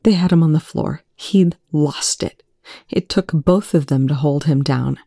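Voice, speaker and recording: A woman reading aloud, voicing a distraught young man who shouts and then chokes out whispers through tears. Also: low voice, a little slow, creaky, no echo, studio quality, full character voice. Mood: urgent recounting.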